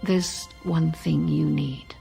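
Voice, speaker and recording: An actress playing a sharp, cool-headed young space traveller, assertive and probing, in a radio comedy. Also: female voice, low-pitched, steady tone, takes it slowly, creaky, faint music behind, heavily acted. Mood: urgent, knowing. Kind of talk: radio comedy drama acting.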